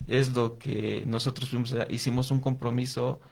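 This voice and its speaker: A man's voice: mid-range voice, little pitch movement, normal speed, vocal fry, darkish tone. A man with an Ecuadorian accent answering interview questions conversationally, explaining things and often restarting his sentences. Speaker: interview guest, watercolor artist and youth coordinator.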